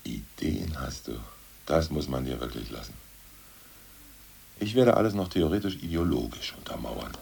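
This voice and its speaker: A man playing a gruff, dogmatic ousted party ideologue in a radio play, with a gravelly, husky voice that bursts into coarse rants. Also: a bass voice, lively intonation, normal speed, long pauses, darkish tone, exaggerated voice. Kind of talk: 1980s radio play acting.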